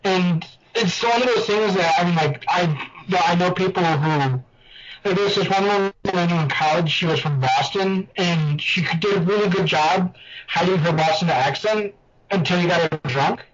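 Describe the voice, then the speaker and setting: A man's voice: high-pitched, normal speed, crowd noise. A man chatting on a podcast, telling anecdotes and laughing at them. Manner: chatty, storytelling, laughing at his own anecdotes. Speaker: podcast panelist. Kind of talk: casual chat. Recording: dull lo-fi mic.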